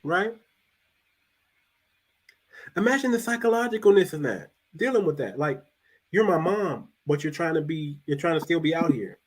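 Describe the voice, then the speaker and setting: A man hosting a casual talk show, speaking loosely, repeating and restarting words, and dropping his voice low for emphasis. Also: animated, moderate pace, pauses often, slightly rough. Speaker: podcast host.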